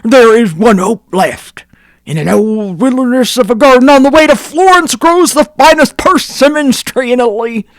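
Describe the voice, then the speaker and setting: A woman voicing a blustering, cowardly brigand chief in a storybook reading, loud and wailing. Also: on the high side, lively intonation, moderate pace, no room sound, voice pushed up.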